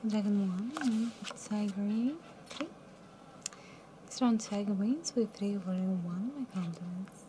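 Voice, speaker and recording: Soft-spoken young woman card dealer. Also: medium pitch, unhurried, slight background noise. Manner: soft, mumbly, drops to near whisper at round ends. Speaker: live casino dealer. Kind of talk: calling card game rounds. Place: casino studio table.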